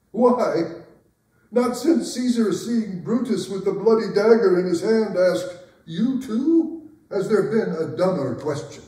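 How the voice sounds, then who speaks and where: A man performs a proud, aging king sparring with his wife in a staged reading. His delivery is theatrical and impassioned, with a mellow tone that swings widely in pitch. He is heard at a distance in a very echoey room. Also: lively intonation, slow pace. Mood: scornful, wounded. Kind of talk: staged dramatic reading of a play. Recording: roomy, echoing hall, distant microphone.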